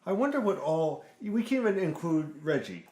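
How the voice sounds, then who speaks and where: A man's voice: on the high side, very animated, moderate pace, a little mellow, roomy sound. A man hosting a casual gaming podcast, introducing his co-hosts with playful emcee flair. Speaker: podcast host.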